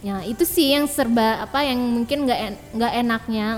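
A woman's voice: on the high side, normal speed. A young woman co-hosting a podcast, chatting casually with lots of laughter and slang. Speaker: podcast co-host. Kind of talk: casual chat. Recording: hall reverb with faint music.

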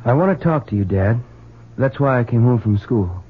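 An actor playing a restless young student in an old radio drama, talking in a casual, slangy, guarded way. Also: male voice, medium pitch, animated, normal speed, slightly rough. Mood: troubled, earnest. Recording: muffled, tinny old radio recording.

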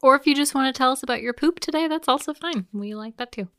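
A woman's voice: high-pitched, fast talker, a bit bright, dry recording. A woman co-hosting a podcast, chatting casually with teasing, dry quips. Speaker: podcast co-host. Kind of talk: casual chat.

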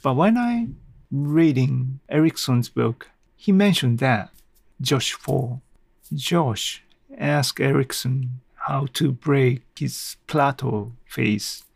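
A man speaking as a language hosts a casual solo podcast at a slow, halting pace. His voice is husky with noticeable creak, and the sound is close and dry. He rambles unscripted, with small self-deprecating chuckles. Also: medium pitch, expressive, no echo.

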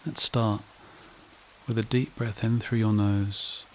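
A man leading a guided meditation and hypnosis in a hushed, soothing, repetitive way with long pauses. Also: lowish voice, flat delivery, slight background noise.

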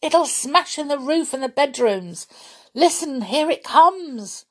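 A woman voicing an urgent, impatient young boy shouting warnings in a storybook reading. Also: high voice, lively intonation, slow, voice pushed up. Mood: frantic alarm.

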